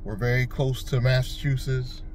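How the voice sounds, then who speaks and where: A man's voice: mid-range voice, steady tone, normal speed, darkish tone, slight background noise. A man from Connecticut who drives for a rideshare service, talking to his viewers in a loose, rambling vlog style with frequent fillers and restarts. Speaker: rideshare driver and channel host. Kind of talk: casual vlog talk.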